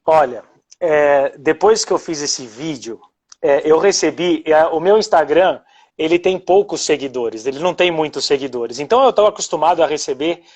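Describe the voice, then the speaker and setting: A husky-voiced man giving advice. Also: fairly high pitch, animated, moderate pace, a little mellow. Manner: thoughtful and reflective, with frequent hesitations. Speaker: pilot, show host. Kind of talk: casual live video chat. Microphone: video call.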